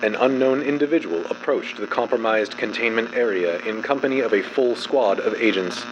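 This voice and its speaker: A man giving a formal, measured, scripted dramatic narration of a clinical report. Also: mid-range voice, highs cut off, noisy background.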